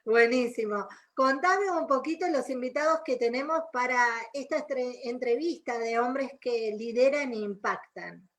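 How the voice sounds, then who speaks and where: A woman's voice: high-pitched, measured pace, few pauses, a bit bright. A woman from Argentina with an Argentine accent co-hosting a radio magazine, speaking in an enthusiastic, flowing way. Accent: Argentine Spanish. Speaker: radio co-host.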